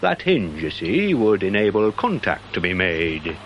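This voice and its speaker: Pompous british police sergeant, wordy and a bit dim, lilting delivery, radio play. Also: male voice, sits low, big pitch swings, measured pace, dull audio, slight background noise, full character voice. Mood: earnest, officious. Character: pedantic, self-important male police sergeant, slightly dim. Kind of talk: British radio drama acting.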